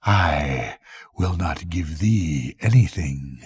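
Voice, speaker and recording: Old man reading as miserly Avarice, husky and slow. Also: extremely low pitch, animated, darkish tone, dry recording, studio quality, voice pushed down, exaggerated voice. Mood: defiant. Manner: slow, drawn-out, emphatic archaic speech. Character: female Avarice, miserly, possessive and defiant.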